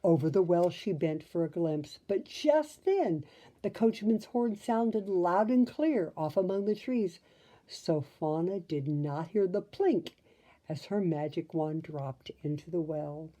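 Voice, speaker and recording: A woman reads a children's storybook at an unhurried pace. Her voice is very mellow and a little low, expressive in narration, with asides to the listener. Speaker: storybook reader.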